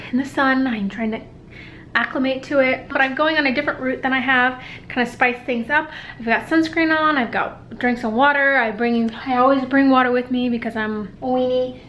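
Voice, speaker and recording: Young adult woman, casual vlogger, slightly high voice, rambling and upbeat, faint background hum. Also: a bit bright. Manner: chatty, upbeat, rambling with many likes. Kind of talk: casual vlog chat. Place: at home and out and about. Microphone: handheld compact camera.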